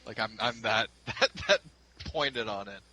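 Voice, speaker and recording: Casual podcast co-host, bright voice, rambling delivery, faint room noise. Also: male voice, fairly low pitch, poor audio. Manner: rambling, laughs often, halting restarts. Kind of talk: casual fan chat.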